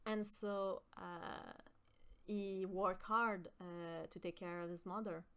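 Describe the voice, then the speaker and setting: A young woman from with a high voice, chatting with her stream viewers and often pausing with fillers. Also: steady tone, sharp tone, clear, resonant tone. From Quebec. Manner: hesitant, many fillers and restarts. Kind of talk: casual chat with stream viewers.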